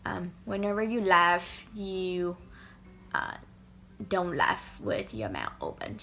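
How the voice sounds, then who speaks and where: A young woman from Vietnam, answering interview questions in halting speech, searching for words. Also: fairly low pitch, big pitch swings, vocal fry, fairly bright tone, soft background music. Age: young adult. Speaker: student interviewee.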